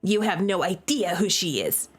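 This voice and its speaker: A woman's voice: on the low side, very animated, normal speed, slightly rough, soft background music, pitched below the performer's own voice. A woman voicing a sullen, angry teenage brother. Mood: angry, accusing. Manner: sullen, accusing.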